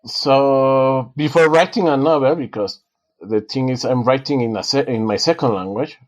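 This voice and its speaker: Author guest, male, Spanish native; mid-pitched, hesitant and full of restarts, muffled remote sound. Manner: halting, many fillers and restarts. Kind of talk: casual interview talk.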